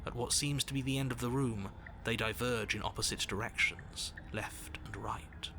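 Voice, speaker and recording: An actor voicing a stern, impatient narrator in a video game, with a measured, authoritative delivery. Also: male voice, mid-range voice, background noise, natural voice. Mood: measured, insistent. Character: stern male narrator, commanding, impatient, dryly insistent. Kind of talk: scripted second-person game narration.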